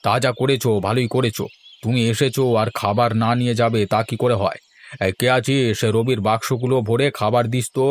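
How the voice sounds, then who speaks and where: A man's voice: medium pitch, fairly even intonation, a little fast, natural voice. A man playing a generous old grandfather and village landlord in a fairy-tale cartoon, hearty and hospitable. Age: older.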